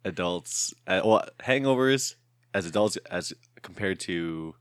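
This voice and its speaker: A podcast host in his thirties talks loosely and rambles, laughing between thoughts. His voice is very low and raspy, worn hoarse. The sound is close and dry. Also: animated, unhurried, no echo. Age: 30s. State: hoarse from shouting the night before. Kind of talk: casual podcast chat.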